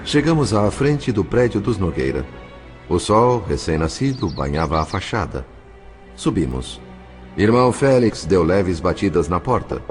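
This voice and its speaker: Deep-voiced male audio novel narrator. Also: very animated, a little slow, faint music behind.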